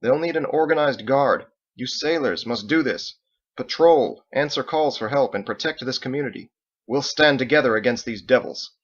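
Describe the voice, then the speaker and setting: A man voicing a courteous, resolute paladin leader with formal, measured phrasing, in an illustrated story reading. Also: medium pitch, highs cut off, voice pushed up, natural voice. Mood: commanding, urgent.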